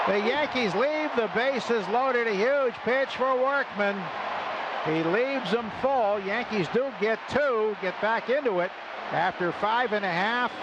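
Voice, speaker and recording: A man calling a baseball game play by play on live radio in clipped, deliberate phrases. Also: sits high. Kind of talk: live radio sports commentary with sponsor reads. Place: stadium broadcast booth. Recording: noisy live ballpark radio broadcast.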